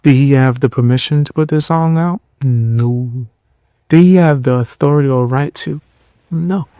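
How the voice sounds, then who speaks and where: A tired young man hosting a podcast, giving blunt, mocking commentary in loose slang with a low voice. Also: normal speed, mellow, no room sound, studio quality. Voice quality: lower voice. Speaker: podcast host. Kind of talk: casual commentary.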